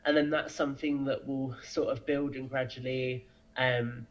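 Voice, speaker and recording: A dull, lo-fi recording of a man with a middling pitch. He is a podcast guest who explains his ideas conversationally and with enthusiasm. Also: little pitch movement, moderate pace. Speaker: podcast guest, audio drama creator. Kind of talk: conversational interview answers.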